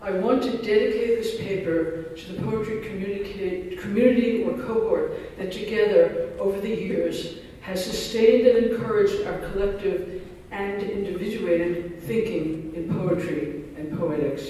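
A man's voice: sits high, steady tone, measured pace, hardly any pauses, mellow, a little hiss or hum. A man giving an academic keynote, reading a scholarly paper with dense phrasing and dry self-deprecating asides. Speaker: keynote speaker. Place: large lecture hall. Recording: echoey hall recorded from far off.

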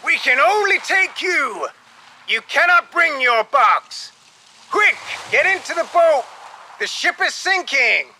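A man's voice: sits high, unhurried, sharp tone, slight background noise, voice pushed up, full character voice, rough recording. A man voicing a commanding, grave ship captain with an emphatic delivery in an audiobook story. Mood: urgent shouting. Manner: emphatic, short urgent orders. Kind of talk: audiobook character dialogue.

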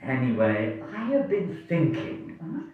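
A man's voice: a little high, wildly swooping pitch, unhurried, crowd noise. Man playing a smug royal schemer, wildly swooping voice, echoey. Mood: jovial. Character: smug, falsely genial scheming royal brother and gambler. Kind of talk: amateur staged dramatic reading. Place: wood-panelled hall, indoors. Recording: distant echoey hall recording, muffled.

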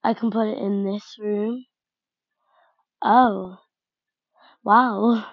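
Female gaming vlogger, slow and gravelly, hesitant think-aloud delivery, lo-fi close mic. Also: medium pitch, steady tone, dry recording. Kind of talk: casual game commentary. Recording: dull lo-fi home mic.

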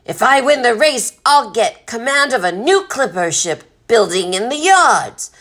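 A woman voicing a gruff, blustering old male sea captain in a storybook, bellowing in salty sailor talk. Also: on the high side, big pitch swings, measured pace, fairly bright tone, pitched above the performer's own voice, full character voice. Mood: roaring, impatient.

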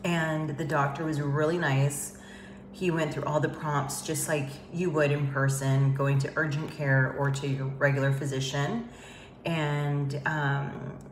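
A woman's voice: low-pitched, slight background noise. A woman vlogging casually in a chatty, rambling way with lots of fillers, sounding congested from a head cold, speaking from an emptied home office. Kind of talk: casual chat to camera. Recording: echoey empty room.

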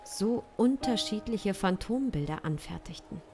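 A woman in her thirties tells an improvised story as dramatic narration. Her pitch is average for a woman, the microphone is close, and music plays clearly behind her. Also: animated, unhurried. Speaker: improv audio drama performer. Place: small indoor studio. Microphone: close studio microphone with pop filter. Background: musical backing.

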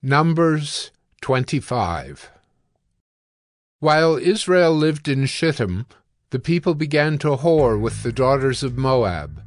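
A man's voice: medium pitch, very animated, takes it slowly, dry recording, studio quality. A man giving scripted narration as the narrator of a dramatized scripture reading.

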